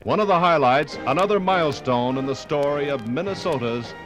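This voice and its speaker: A man's voice: on the high side, expressive, fairly quick, low-quality recording. A man giving solemn, dramatic scripted narration in a 1950s radio style. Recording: 1950s radio recording, music behind.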